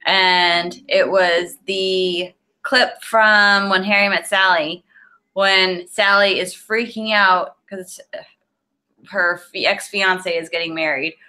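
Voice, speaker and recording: A woman in her early thirties, co-hosting a podcast from home over a video call, chatty and relaxed as she tells stories. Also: on the low side, slow. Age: 30s. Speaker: podcast co-host. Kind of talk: casual chat. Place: home room with curtains. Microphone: video call webcam.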